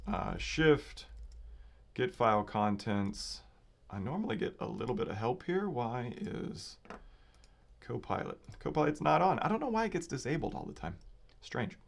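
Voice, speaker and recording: Slightly raspy man with a lowish voice. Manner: informal, thinking aloud. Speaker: livestream host and software developer. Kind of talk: casual live coding commentary. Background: computer keyboard typing and clicking.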